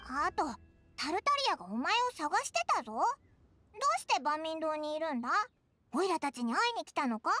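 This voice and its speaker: A tiny, bold, floating game companion with a very high, bouncing voice that leaps all over the place. She rattles off her lines fast and eagerly, with game music playing behind her. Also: expressive, fairly bright tone, dry recording. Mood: excited. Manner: chattery, bouncy and boastful. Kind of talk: game voice acting.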